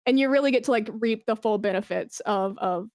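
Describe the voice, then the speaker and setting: Enthusiastic, higher-voiced woman in casual chat. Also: a little fast, a little mellow, no echo. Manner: enthusiastic, informal, sweary. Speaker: podcast guest, esports brand manager.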